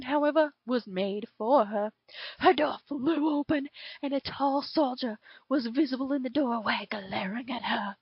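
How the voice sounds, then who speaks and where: A woman's voice: high-pitched, no echo, lo-fi sound. A woman voicing a drawling southern dragon. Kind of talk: reading fan fiction aloud.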